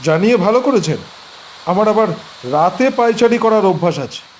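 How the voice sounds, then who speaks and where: Man doing a curious visitor, high-pitched male voice, relaxed conversational lines, audio drama. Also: moderate pace, background noise. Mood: casual, curious.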